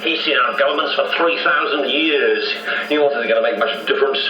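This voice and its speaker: Actor as irascible English archaeologist, noisy roomy recording. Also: male voice, medium pitch, a little fast, bright, forceful tone, distant mic, lightly acted. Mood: angry, scornful. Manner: brusque, exasperated. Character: irascible, arrogant English male archaeologist, impatient and scornful. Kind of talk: 1990s radio drama acting. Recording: noisy roomy radio copy, off-mic.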